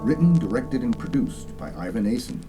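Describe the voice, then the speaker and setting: A man's voice: medium pitch, sing-song, brisk. A man narrator reading the closing credits as a scripted announcement. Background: loud guitar music.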